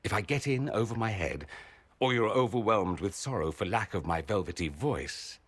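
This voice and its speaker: A sardonic noble mage in a fantasy game, with a low, swooping voice. He is arch, theatrical and vain, with warmth under the flippancy. The sound is dry and close. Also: animated, moderate pace, slightly rough, dry recording. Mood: wry, fond. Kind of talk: dramatic video game dialogue.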